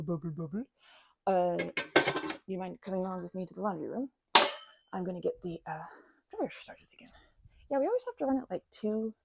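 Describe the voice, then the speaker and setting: A woman's voice: medium pitch, vocal fry, darkish tone, breathy edge. A woman performing a casual best-friend ASMR roleplay, chatting in a playful, scatterbrained way and rambling as she goes.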